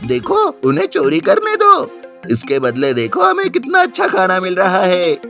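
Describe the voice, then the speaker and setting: A man's voice: sits high, wildly swooping pitch, normal speed, gravelly, sharp tone, faint music behind, voice pushed up, heavily acted. A man voicing a cunning, greedy donkey in a cartoon story, squealing and swooping. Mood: sly, scheming. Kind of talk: animated storybook character voice.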